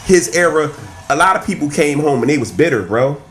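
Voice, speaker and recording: A man in his forties from Trenton talks in a loose, animated podcast style, peppering his talk with "man" and "right?". His voice sits a little high for a man. He sounds like a guest pitching insurance among friends. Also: a little fast. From Trenton, New Jersey. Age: 40s. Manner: animated, street slang, frequent "right?" and "man". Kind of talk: casual podcast chat.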